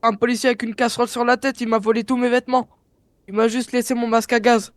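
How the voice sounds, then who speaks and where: A man with an extremely high voice improvises in a game roleplay. He sounds hesitant and clownish, often pleading. The sound is close and dry. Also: level tone, quick pace, no echo. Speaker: gamer playing a stranded police officer.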